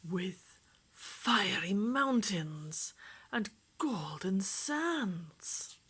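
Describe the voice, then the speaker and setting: Slow gentle woman reading a picture book aloud. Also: mid-range voice, very animated, husky. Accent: lilting Welsh, put on. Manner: slow, gentle, rhythmic, often softly whispered. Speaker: storytime reader.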